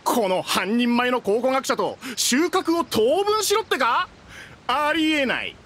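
A man's voice: extremely high pitch, animated, a little slow, bright, forceful tone, heavily acted. An actor playing an impatient, greedy male treasure seeker, brash and grumbling, in a game. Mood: indignant. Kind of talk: game voice acting.

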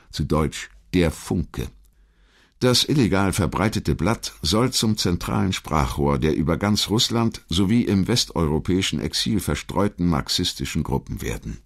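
A man reading a scripted historical documentary narration in a measured, articulate way. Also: low voice.

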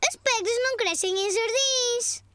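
A woman voicing a cheerful, excitable four-year-old boy in a cartoon dub, with a European Portuguese accent. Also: very high-pitched, measured pace, dry recording. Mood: excited. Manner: eager, exclamatory. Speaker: voice actor. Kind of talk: cartoon dubbing.

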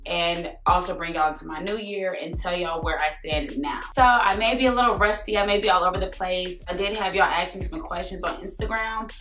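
A young woman with a raspy voice, chatting casually and sassily to camera in her living room. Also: lowish voice, a little fast, few pauses, a bit bright, echoey room, faint music behind. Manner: chatty, sassy, rambling. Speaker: YouTuber. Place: living room with a Christmas tree.

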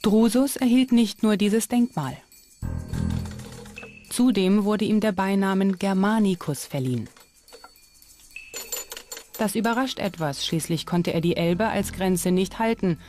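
A woman's voice: mid-range voice, measured pace, pauses often, darkish tone, faint music behind. A woman reading scripted documentary narration.

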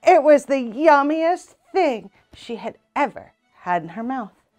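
A woman's voice: sits high, very animated, a little slow, a little mellow, faint music behind. A young woman reading a children's storybook aloud as a narrator. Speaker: EMT reading a children's book. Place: small indoor room.

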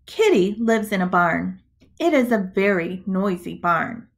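A woman reading a storybook aloud to young children as a schoolteacher, in a deliberate, expressive manner. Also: medium pitch, vocal fry. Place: indoors, webcam screen recording.

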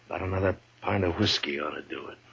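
An actor playing a weary, gruff frontier marshal in a 1950s radio western, speaking in a laconic, hesitant way. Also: male voice, sits low, fast, over music. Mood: calm, laconic. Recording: muffled, noisy 1950s radio recording.

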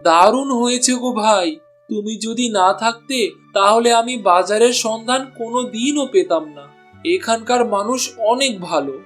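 A man's voice: extremely high pitch, normal speed, a bit bright, faint music behind. A man voicing an honest, struggling village meat seller in a cartoon. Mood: earnest.

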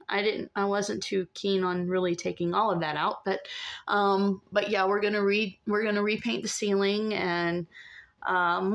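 Casting: middle-aged female vlogger, mid-pitched voice, informal and halting, talking into a handheld phone. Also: creaky, a bit bright. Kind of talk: casual update to camera. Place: inside an empty converted school bus. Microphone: phone at arm's length.

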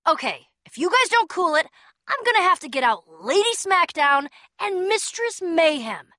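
A woman's voice: very high-pitched, very animated, normal speed, bright, forceful tone, no echo, studio quality, full character voice. A woman playing a brave, sarcastic young adventurer in a video game, with a casual, wry and teasing delivery. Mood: feisty, sarcastic. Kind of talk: animated game dialogue.

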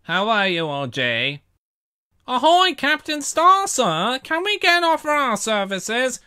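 A man's voice: extremely high pitch, wildly swooping pitch, takes it slowly, pushed and bright, clean tone, dry recording, studio quality, voice pushed up, exaggerated voice. A man voicing an oily, scheming cartoon scrap-dealer boat with a formal, fawning manner.

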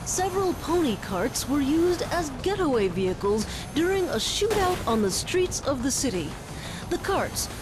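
An actress playing a polished, straight-faced news anchor giving a deadpan parody report in a video game. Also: female voice, sits high, noisy background. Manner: deadpan newsreader delivery.

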